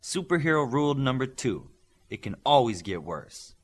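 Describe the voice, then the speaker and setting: A man's voice: medium pitch, big pitch swings, moderate pace. A man voicing a cocky, joking teenage boy superhero in a storybook reading, casual and punchy. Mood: consoling.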